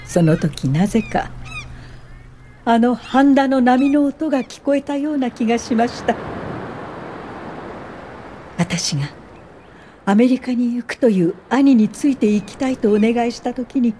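A woman's voice: on the high side, lively intonation, unhurried, pauses often, dark tone, a little hiss or hum. A woman acting in a Japanese radio drama as a composed, earnest and determined welfare pioneer, speaking articulately. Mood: reflective.

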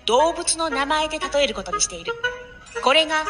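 A woman's voice: high-pitched, animated, fairly quick. A woman playing a self-assured single office lady of about thirty, a romantic dreamer narrating her own audio drama. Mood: dreamy narration. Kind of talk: audio drama acting with first-person narration. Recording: loud music over the voice.

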